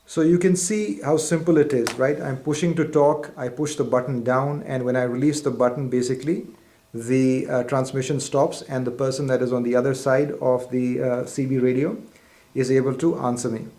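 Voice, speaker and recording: A man's voice: medium pitch, steady tone, normal speed, mellow, slight background noise. Methodical man giving a product demonstration. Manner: methodical, formal, explanatory. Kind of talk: step-by-step instructional demonstration.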